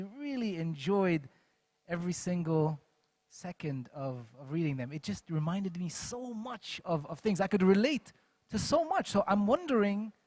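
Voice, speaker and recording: Male panel host, high voice, dry close sound, enthusiastic. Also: no echo. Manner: enthusiastic, warm welcome to audience. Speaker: moderator. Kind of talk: book fair panel hosting. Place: event stage.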